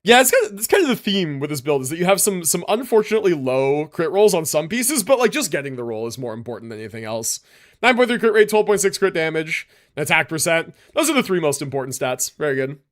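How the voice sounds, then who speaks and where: A man with a fairly high voice and very lively, swinging intonation gives casual livestream commentary. He thinks aloud as he goes, slipping easily between reading out numbers and slangy remarks to viewers. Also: fast. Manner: relaxed slangy talk, thinking aloud, reading stats and chat aloud. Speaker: livestream host reviewing game builds.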